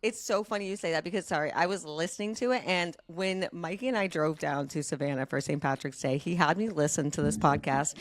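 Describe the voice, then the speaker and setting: A woman's voice: low-pitched, a little fast, hardly any pauses. A woman on a podcast chatting casually, blunt and emphatic in her opinions. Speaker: podcast panelist.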